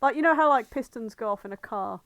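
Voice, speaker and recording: A woman co-hosting a podcast in casual banter, blunt, sweary and quick to argue. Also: on the high side, fairly bright tone, no echo. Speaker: podcast co-host.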